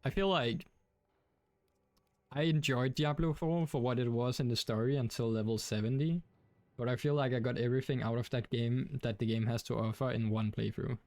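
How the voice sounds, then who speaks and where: A man's voice: mid-range voice, steady tone, normal speed, darkish tone, no room sound. A young man from Denmark with a Danish accent, chatting casually while streaming a game, rambling with many fillers. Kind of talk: casual gaming stream chat.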